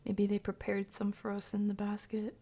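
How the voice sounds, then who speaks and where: A woman streamer giving casual, chatty horror game commentary, muttering to herself in a breathy voice that often drops to a whisper. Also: medium pitch, completely flat delivery, normal speed, mellow.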